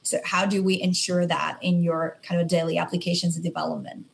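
A woman with a slightly low voice moderates a panel and poses questions plainly, with small hesitations. Faint noise hums under the recording. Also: little pitch movement, fairly quick, vocal fry.

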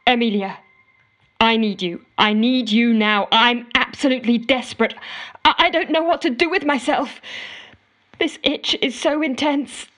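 A woman's voice: a little high, moderate pace, a bit bright, slight background noise, lightly acted. An actress playing an exasperated, frantic office worker, telling her woes melodramatically in an audio drama voicemail monologue. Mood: desperate, frantic. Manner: melodramatic, indignant storytelling with sarcastic quoting. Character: exasperated, frantic office woman ranting to a colleague.

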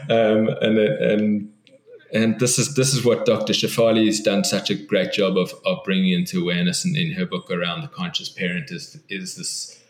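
Interview guest: a lower-voiced man, earnest and reflective, who restarts his sentences, recorded in an echoey room. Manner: thoughtful, earnest, frequent restarts and repetitions. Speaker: guest author. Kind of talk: podcast interview.

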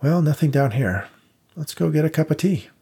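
A confident young woman investigator who talks in a brisk, matter-of-fact way, as a man acts her out. Her lines sit higher than the reader's own voice but keep a husky, gravelly texture. She is wry and unflustered. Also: animated, warm tone, full character voice.

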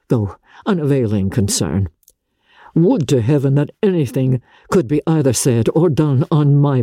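A woman voicing a compassionate, formal gentleman in a classic novel reading, grave and restrained, with halting phrases. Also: very deep voice, breathy edge, no echo, clean studio sound, exaggerated voice.

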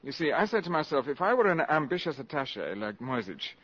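An actor playing a suave, cynical valet turned spy in a radio drama, with a polished, mocking manner. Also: male voice, on the high side, very animated, fairly quick, a bit bright, slightly rough, exaggerated voice. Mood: mocking, assured. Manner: polished, mocking, cool and superior. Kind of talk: 1950s radio drama acting. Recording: muffled old radio broadcast recording.

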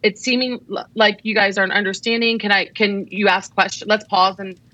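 A woman's voice: medium pitch, level tone, a little fast, bright, forceful tone, no room sound, poor audio. A woman co-hosting a podcast, chatting casually and affirming her co-hosts while giving advice. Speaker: podcast co-host.

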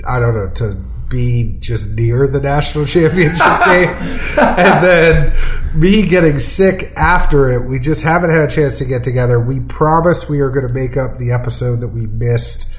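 A man's voice: mid-range voice, normal speed, a little hiss or hum. A man co-hosting a podcast in easygoing casual chat, with a nasal voice that is stuffy from a cold. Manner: easygoing, laughs while talking. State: congested, under the weather. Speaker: podcast co-host.

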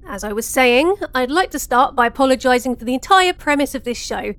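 High-voiced, jaded, sarcastic grumpy woman host. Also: brisk, no room sound. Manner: sarcastic, deadpan, world-weary. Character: Negative Nancy, jaded, grumpy female podcast host in space. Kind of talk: scripted comedy fiction podcast monologue.

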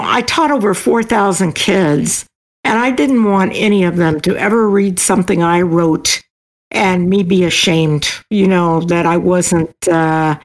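An older woman speaking as an interview guest, casual, thoughtful and a little halting as she explains herself. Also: on the low side, poor audio.

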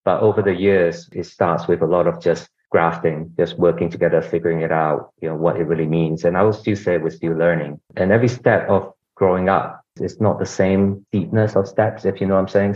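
A man's voice: sits low, darkish tone. A middle-aged man from Singapore, a podcast guest, explaining his organisation in a conversational way and often adding "you know". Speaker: guest, healthcare executive. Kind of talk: conversational interview answers. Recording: dull lo-fi audio.

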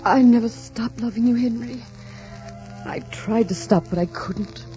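A woman's voice: on the high side, unhurried, warm tone, close to an everyday voice. An actress playing a proud, devoted lady in love in emotional 1940s radio melodrama style. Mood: tender, yearning. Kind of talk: 1940s radio drama acting. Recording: dull 1940s radio recording, music behind.

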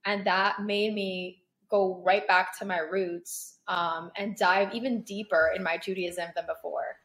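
A woman's voice: medium pitch, fairly even intonation, unhurried, a bit bright, poor audio. A young woman, born in Paris and raised in Toronto, answering interview questions in a chatty, searching way with many ums. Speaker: guest, content creator.

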